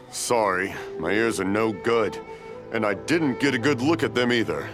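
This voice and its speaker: A weary, hard-of-hearing old man from a game, sighing, his pitch rising and falling widely. Loud music behind. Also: lively intonation, measured pace. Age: older.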